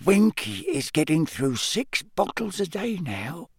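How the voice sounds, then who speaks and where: A man voicing a small, devoted male house-elf who talks in a hushed whisper. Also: fairly high pitch, very animated, a little slow, gravelly, slightly rough, no echo, studio quality, pitched above the performer's own voice, full character voice. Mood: hushed sad.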